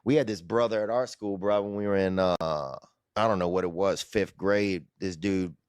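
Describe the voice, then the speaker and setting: Low-voiced man swapping crude slangy stories. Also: normal speed, a little mellow, clear, resonant tone, dry recording. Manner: slangy, crude, swearing, bro-style address. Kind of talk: casual comedic storytelling.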